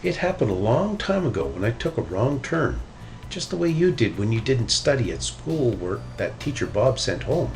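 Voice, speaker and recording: A man's voice: medium pitch, sing-song, moderate pace, a little hiss or hum, rough recording. A man voicing a kindly, wise old grandfather bear with a folksy, teasing manner in a children's storybook. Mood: patient, reflective.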